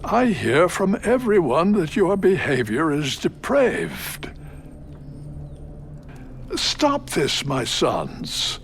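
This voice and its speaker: An actor playing an aged priest, an old man pleading slowly with his sons in an audio Bible drama. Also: fairly high pitch, wildly swooping pitch, gravelly, a little hiss or hum, heavily acted.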